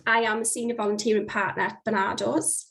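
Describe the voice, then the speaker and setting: A woman with a croaky voice from being under the weather, giving a friendly charity presentation on a video call. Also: medium pitch, level tone, fairly quick, a bit bright. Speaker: charity volunteering partner. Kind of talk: online presentation.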